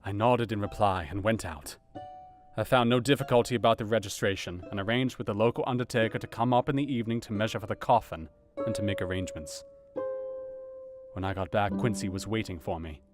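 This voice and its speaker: An actor playing a grave, conscientious doctor, narrating his own account in a measured, formal, sombre way in an audio drama. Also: on the low side, music in the background, lightly acted. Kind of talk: dramatized first-person narration.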